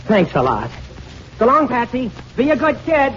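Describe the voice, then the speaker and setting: A man's voice: very high voice, huge pitch swings, moderate pace, fairly bright tone, exaggerated voice. An actor playing a harried, pushy working-class father with slangy, stammering talk in a 1940s radio comedy. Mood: relieved. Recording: muffled old radio, faint noise.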